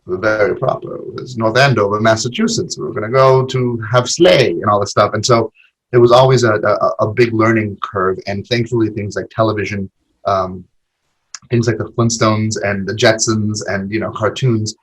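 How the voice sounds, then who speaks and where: A podcast guest in his forties talks through his memories in a loose, conversational way, often doubling back on his own words. His voice sits low and has a breathy, husky edge. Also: fairly even intonation, moderate pace, low-quality recording. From Argentina. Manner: rambling, with many fillers and repeated words. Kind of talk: casual interview conversation.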